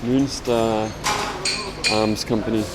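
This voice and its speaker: An Australian man narrating his own travel vlog in a casual, low-key way while walking through a workshop. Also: slow. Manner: relaxed, low-key commentary. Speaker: travel vlogger. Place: workshops and rooms in a weapons factory. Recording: loud background hum.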